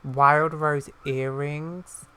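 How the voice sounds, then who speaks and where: A woman's voice: sits low, level tone, very slow, bright, forceful tone, clean tone. A woman talks casually through a game as its host. Her commentary is chatty and rambling, drifting from one reaction to the next in an informal, conversational way. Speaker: gaming video host.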